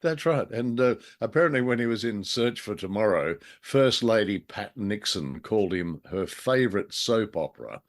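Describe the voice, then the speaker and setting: A middle-aged man co-hosting a show, chatting casually about stories in a wordy, wry, measured way. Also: on the low side, expressive. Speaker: co-host of an old-time radio drama show. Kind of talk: casual chat about a story.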